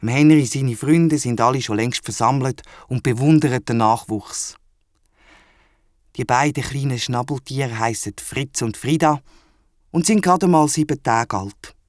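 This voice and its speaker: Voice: mid-range voice, unhurried, darkish tone, dry recording, studio quality. A storyteller with a Swiss German accent, narrating a scripted children's audio story.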